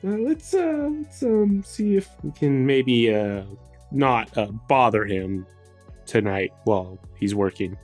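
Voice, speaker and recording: A woman plays a tabletop game, chatting in character. Her voice is very low and swings widely in pitch as she meanders hesitantly through her thoughts. Faint music sits behind her. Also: very animated, slow pace, darkish tone. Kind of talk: casual in-character improvised chat.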